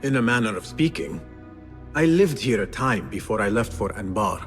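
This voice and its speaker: An actor playing an earnest, reflective young assassin in a video game, speaking in a measured way. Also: male voice, fairly low pitch, lively intonation, faint music behind, close to an everyday voice. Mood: wry. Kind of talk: cinematic video game acting.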